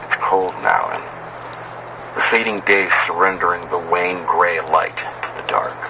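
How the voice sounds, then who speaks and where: A man tells a horror story as a despairing, doomed sailor. His delivery is very slow and grave, with deliberate dramatic pauses. A clear bed of ambient noise sits behind the voice. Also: sits low, very animated, muffled recording. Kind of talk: dramatic horror narration, acted in first person.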